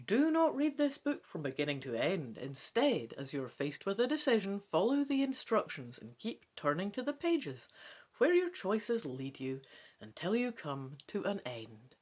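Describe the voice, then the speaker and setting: Slow-paced, expressive woman reading and chatting. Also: lowish voice, slightly rough. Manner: chatty, musing asides between expressive reading. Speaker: gamebook let's play host. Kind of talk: solo let's play with dramatic read-aloud.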